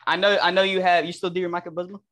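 A man's voice: high voice, little pitch movement, racing, a bit bright. A young man hosting a casual interview podcast from his room, upbeat and friendly with hesitant fillers. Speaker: podcast host.

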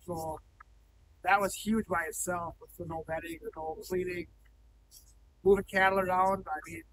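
A man's voice: high-pitched, fairly even intonation, moderate pace, far from the mic, noisy background. A man in his thirties, a cattle farmer talking plainly in an on-site interview in a large barn, with frequent ums and restarts. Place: indoor cattle barn, large, open-sided.

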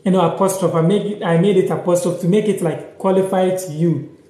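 A young man from Ghana teaching a live language lesson on his phone, slowly repeating words and sentences and reading out viewer comments. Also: high-pitched, steady tone, dark tone, roomy sound. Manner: slow, repeats each word and sentence several times. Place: small room indoors, sitting on a sofa.

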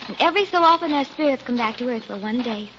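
An actress in a 1940s radio drama playing an earnest grown daughter, speaking in a clearly enunciated way. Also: female voice, on the high side, expressive, fast talker, a bit bright. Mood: gentle, explaining. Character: earnest grown daughter of a long-lost father. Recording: muffled old 1940s radio recording, faint noise.

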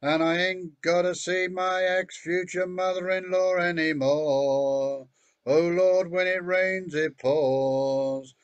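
A man's voice: sits high, steady tone, takes it slowly, dry recording. An older man singing a country song at an online open mic, from a home room on a video call. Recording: dull lo-fi video call audio.

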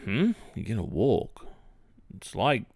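An Australian man playing an awkward, polite young college football captain in a boyfriend roleplay, speaking in a hesitant, halting way. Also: fairly low pitch, sing-song, creaky, dry recording, clean studio sound, exaggerated voice. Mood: bashful, awkward. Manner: hesitant, halting, with many pauses and soft awkward filler. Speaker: ASMR roleplay performer. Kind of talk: ASMR boyfriend roleplay, one-sided improvised-sounding dialogue.

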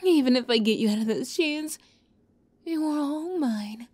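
A woman playing an obsessive young yandere captor in an ASMR roleplay, close to the mic, moving between soft whispers and giddy, high outbursts. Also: expressive, normal speed. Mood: giddy, elated.

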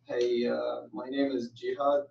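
A young adult man from Saudi Arabia, a student, answering a panel question haltingly with restarts. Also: mid-range voice, little pitch movement, vocal fry, darkish tone, far from the mic, a little hiss or hum. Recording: muffled, roomy, from off the mic.

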